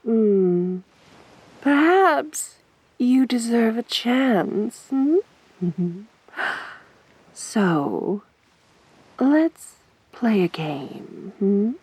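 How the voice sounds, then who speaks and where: A woman performing an ASMR roleplay as a sadistic, teasing winged siren, speaking softly and breathily with taunting, coaxing mock sympathy. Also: medium pitch, exaggerated voice. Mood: teasing, predatory. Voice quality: soft, breathy.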